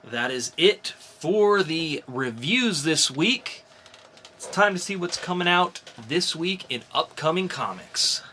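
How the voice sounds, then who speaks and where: A man's voice: a little high, animated, slow pace, bright, forceful tone. A man co-hosting a podcast, chatting casually and enthusiastically about comics in an informal way. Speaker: podcast co-host. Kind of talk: casual comic book review chat. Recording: faint background noise.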